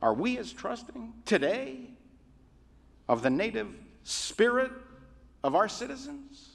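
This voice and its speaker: A rabbi giving a sermon in a measured, oratorical way, with deliberate pauses. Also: male voice, very high-pitched, sing-song, gravelly, a bit bright. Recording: reverberant hall.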